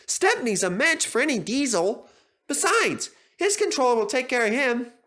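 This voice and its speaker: A man voicing an eager, earnest young tank engine in a children's story, with a squeaky, chattering delivery. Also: sing-song, normal speed, pushed and bright, heavily acted. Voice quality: squeaky, high-pitched. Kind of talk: animated children's story character voice.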